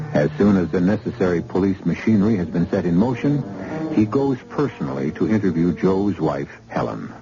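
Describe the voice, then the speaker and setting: A man giving solemn, dramatic scripted narration for a radio drama, on a dull old broadcast with music. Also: on the low side.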